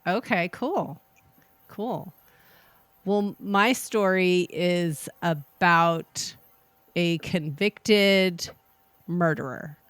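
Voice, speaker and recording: Slow-spoken woman podcast host. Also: on the low side, clear, resonant tone, no echo. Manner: unhurried, measured. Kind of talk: casual podcast chat, reading a recipe.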